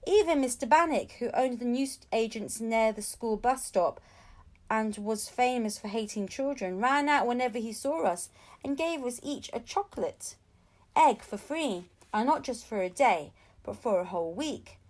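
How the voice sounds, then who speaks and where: High-pitched woman reading a children's story. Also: normal speed. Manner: expressive storytelling with acted-out dialogue. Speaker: audiobook reader. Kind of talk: scripted storybook narration read aloud for children.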